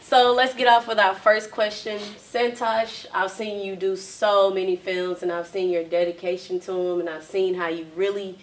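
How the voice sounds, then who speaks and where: A woman interviewing an actor in a relaxed way, often affirming with "mm-hmm". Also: mid-range voice, moderate pace, hardly any pauses. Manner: friendly, affirming with frequent "mm-hmm". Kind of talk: casual interview. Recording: distant mic.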